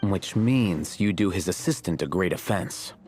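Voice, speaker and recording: An actor playing a dry, bookish, aloof man in a video game, with a deadpan manner. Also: low voice, moderate pace. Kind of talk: English video game voice acting. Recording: background music behind the voice.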